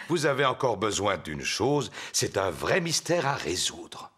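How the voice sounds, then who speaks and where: A man's voice: medium pitch, huge pitch swings, slow pace. A jolly cartoon father pig, hearty and patient. His speech swings widely up and down, with prominent music underneath. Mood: patient, jovial. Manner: hearty chuckles, patient explaining. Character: jolly, good-natured cartoon daddy pig. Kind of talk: French children's cartoon dub.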